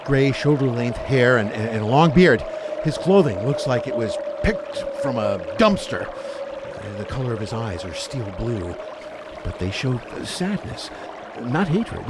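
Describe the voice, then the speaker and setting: A man acting a gruff, skeptical ghost hunter in an amateur supernatural radio play. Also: mid-range voice, big pitch swings. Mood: solemn. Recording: clearly noisy, rushing water sound behind.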